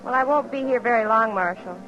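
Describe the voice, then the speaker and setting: A woman's voice: on the high side, fairly quick, natural voice. A woman playing a naive, polite, hopeful young traveler in a 1950s radio western. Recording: dull vintage radio recording, music behind.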